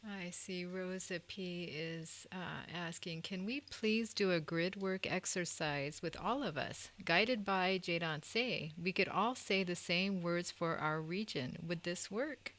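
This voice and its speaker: A woman's voice: on the low side, slow pace, hardly any pauses, a bit bright. A woman co-host reading out a question sent in by an audience member.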